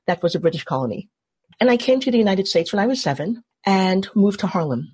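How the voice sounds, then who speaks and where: A woman's voice: medium pitch, very animated, quick pace, darkish tone, breathy edge. A woman from Trinidad leading an online workshop, putting questions to the group and explaining in an engaging way over a video call. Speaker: workshop facilitator.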